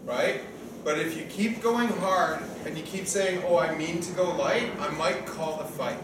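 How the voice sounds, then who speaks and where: A man's voice: on the high side, unhurried. A man in his fifties giving an informal coaching briefing to a group as organizer and referee, often checking in with short tag questions. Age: 50s. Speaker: sparring event organizer and referee. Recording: echoey, distant room recording with noise.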